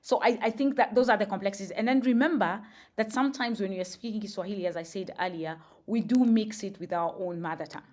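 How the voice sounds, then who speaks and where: A woman's voice: medium pitch, fast talker, darkish tone. A woman, a guest on a podcast interview, explaining thoughtfully with examples and frequent fillers. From Kenya.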